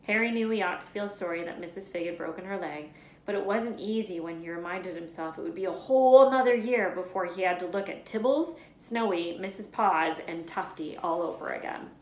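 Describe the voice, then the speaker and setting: A woman reading a children's story aloud in an expressive narrating style. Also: lowish voice, brisk. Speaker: read-aloud storyteller, teacher. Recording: echoey room.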